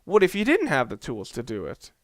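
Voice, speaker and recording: A man's voice: medium pitch, huge pitch swings, a little fast, dry recording, clean studio sound. A young man giving casual, rambling, opinionated commentary while playing a video game, with the odd curse word. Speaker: let's play commentator.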